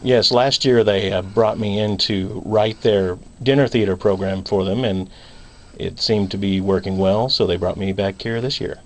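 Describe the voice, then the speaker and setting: A man's voice: fairly low pitch, fairly even intonation, normal speed, a little mellow, slight background noise. A man talking casually as a playwright and guest promoting a show. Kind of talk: casual promotion and interview talk.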